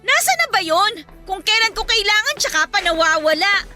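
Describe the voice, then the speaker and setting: A woman's voice: very high voice, expressive, moderate pace, bright, forceful tone, full character voice. A Filipino woman acting an irritable, aggrieved elder sister in her twenties in a radio drama, scolding and exasperated. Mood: irritated, scolding. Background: music.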